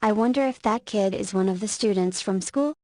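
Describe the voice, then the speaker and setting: A woman playing a caring, playfully teasing school principal in her forties, in visual novel dialogue with gently bantering, motherly delivery. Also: mid-range voice, fairly quick, dry recording, studio quality, close to an everyday voice. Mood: curious, surprised. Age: 40s.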